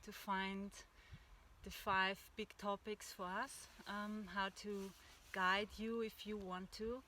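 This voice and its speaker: A woman's voice: medium pitch, little pitch movement, takes it slowly, sharp tone, a little hiss or hum. A woman from Austria with an Austrian German accent, speaking English as a second language. She co-hosts a workshop outdoors and talks enthusiastically, sometimes searching for words. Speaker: workshop co-host. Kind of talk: casual spiritual talk. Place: outdoors under an old oak tree.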